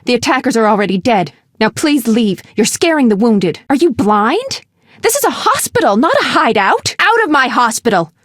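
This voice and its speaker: A woman voicing a caring but feisty young female medic in a video game, speaking earnestly and directly, sometimes with a sharp tongue. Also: on the high side, sing-song, moderate pace, no echo, clean studio sound. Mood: indignant, scolding. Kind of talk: video game character dialogue.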